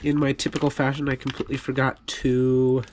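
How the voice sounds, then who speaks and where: Male host, stuffy with a cold, mid-pitched, laid-back and rambling as he walks through a fix. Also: a little fast, noisy background. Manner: relaxed, rambling, self-deprecating. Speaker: hobby channel host. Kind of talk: casual repair walkthrough.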